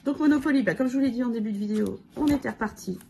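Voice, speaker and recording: A woman with a cold and a hoarse voice, casually going through her budget challenges and counting money aloud with lots of fillers. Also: fairly high pitch, rapid-fire, dark tone. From France. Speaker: budget vlogger. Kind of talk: casual budget review talk.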